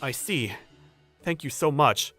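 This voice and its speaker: Man playing animated, polite young junior employee. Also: mid-range voice, takes it slowly, faint music behind, exaggerated voice. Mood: flustered, wary. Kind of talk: manga dub dialogue and first-person narration.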